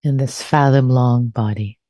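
A woman teacher leading a guided meditation, speaking gently and softly, lingering on and repeating phrases. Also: rumbling low, fairly even intonation, no room sound, clean studio sound. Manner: gentle, soft-spoken, unhurried with repeated phrases.

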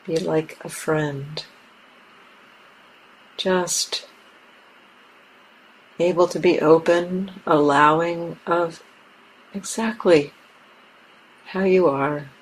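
A woman leading a guided meditation as a teacher, speaking gently and haltingly in short, softly inviting phrases. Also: sits low, steady tone, a little hiss or hum, rough recording. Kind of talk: guided meditation, unscripted and spoken in pauses. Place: meditation center talk.

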